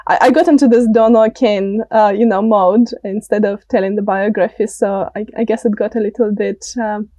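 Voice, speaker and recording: Female interview guest, Russian accent, soft mellow tone, close dry recording, conversational and halting. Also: mid-range voice, fairly even intonation, dry recording. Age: late 20s. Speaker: interview guest, political candidate.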